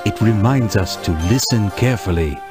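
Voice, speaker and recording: A man's voice: lowish voice, music in the background, close to an everyday voice. A man playing a kindly, dignified village elder in a children's game, speaking formally and patiently. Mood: gentle, solemn. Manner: measured, formal, ceremonious. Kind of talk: children's educational game voice acting.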